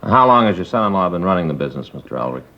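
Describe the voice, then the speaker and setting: A quick, polite police detective. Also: male voice, low-pitched, very animated. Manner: courteous, direct questioning. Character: polite, businesslike male police detective partner. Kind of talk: 1950s radio drama acting. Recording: muffled vintage radio broadcast.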